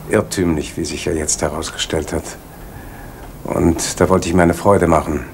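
A low-voiced evasive post official. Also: male voice. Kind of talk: 1980s East German radio crime drama. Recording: noisy old radio play recording.

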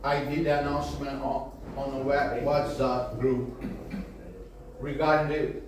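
A man whose first language is Portuguese, speaking earnestly and apologetically to a seminar audience as race organizer, with halting restarts. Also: mid-range voice, little pitch movement, very noisy. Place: meeting room with large windows. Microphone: far camera. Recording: echoey room from far off.

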